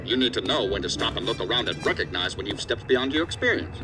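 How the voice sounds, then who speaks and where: A man acts out a hard-bitten federal agency boss in a sci-fi audio drama. He is stern and profane, scolding at a brisk clip. Music plays clearly behind his medium-pitched voice. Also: expressive, natural voice. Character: angry, hard-bitten male assistant director of investigative agency.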